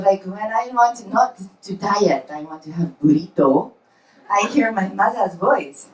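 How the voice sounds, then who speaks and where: Lively self-deprecating woman doing stand-up. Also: mid-range voice. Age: 40s. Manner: self-deprecating, punchy storytelling. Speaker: stand-up comedian. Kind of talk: stand-up comedy set. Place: comedy club in New York. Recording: roomy club sound, from far off.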